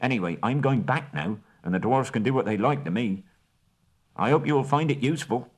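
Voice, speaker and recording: A man voicing a small, shrewd male hobbit who talks in a brisk, businesslike, genteel way. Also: medium pitch, expressive, husky, pitched above the performer's own voice. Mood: flustered.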